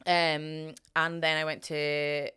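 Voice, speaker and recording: Chatty Scottish woman, low voice. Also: little pitch movement, takes it slowly, bright, forceful tone, smooth. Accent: Scottish, Highlands. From Scotland. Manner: chatty, laughs often, many fillers. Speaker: guest, strength coach. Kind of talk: casual podcast chat.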